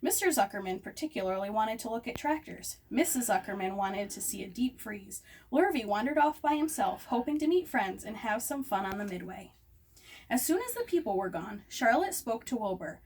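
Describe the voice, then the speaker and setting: A woman reading a children's story aloud in an expressive storytelling style. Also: mid-range voice, normal speed. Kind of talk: expressive read-aloud narration. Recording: distant microphone, noisy room ambience.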